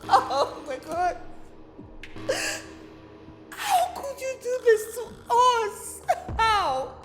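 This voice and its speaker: Betrayed mother, played by a woman: very high, slow and anguished, pleading and shouting. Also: animated, faint music behind, full character voice. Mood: devastated. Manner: pleading, shouting, sobbing laughter. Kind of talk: melodramatic film acting.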